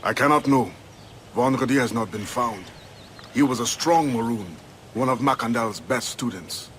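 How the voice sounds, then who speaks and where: An actor playing a solemn, weary older freedom fighter in a video game. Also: male voice, medium pitch, animated, moderate pace, a bit bright, slight background noise, lightly acted. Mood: grave. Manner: solemn, formal. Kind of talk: video game cutscene acting.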